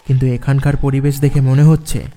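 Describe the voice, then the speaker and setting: A man's voice: mid-range voice, level tone, fairly quick, dark tone, natural voice. An actor playing a skeptical young office man in a dramatised horror story. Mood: narrating, uneasy. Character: young office man recounting his eerie night, dismissive, skeptical. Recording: music under the voice. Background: background music.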